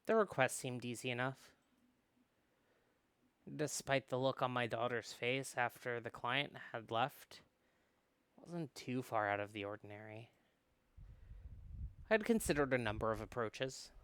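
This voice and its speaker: A man's voice: mid-range voice, steady tone, a little slow, pauses often, a bit bright, clear, resonant tone, no echo. A man reading visual novel narration aloud in a dramatic storytelling style.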